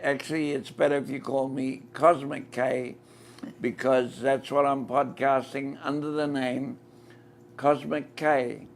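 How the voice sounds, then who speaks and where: Slow, gravelly New Zealand man hosting. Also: mid-range voice, little pitch movement, slight background noise. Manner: slow, deliberate, repeats the shop address. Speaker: podcast host. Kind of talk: live-stream interview. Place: inside a flying saucer-themed shop.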